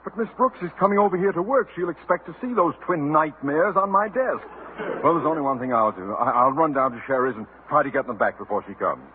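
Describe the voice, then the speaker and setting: A man's voice: a little high, expressive, brisk, close to an everyday voice. An actor playing an irritable, blustering middle-aged father, sardonic and exasperated, in a 1950s radio sitcom. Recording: tinny 1950 radio broadcast with hiss.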